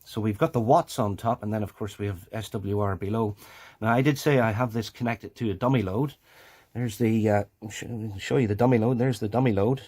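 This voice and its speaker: A man presenting a hobby video in a casual, hands-on way, explaining as he goes and often thinking aloud haltingly. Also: lowish voice, a little mellow.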